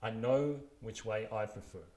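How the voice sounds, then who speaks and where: A man's voice: fairly low pitch, normal speed, a little mellow. South African man in an echoey room. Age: 30s. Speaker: blogger reading his own post aloud. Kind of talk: scripted narration of a personal blog essay.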